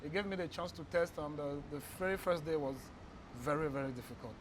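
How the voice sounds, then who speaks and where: A man's voice: a little high, brisk, slight background noise. A man from Benin with a Beninese French accent, telling his life story as a guest. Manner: animated, acts out bus-stop calls. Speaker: guest, company founder. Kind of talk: storytelling interview.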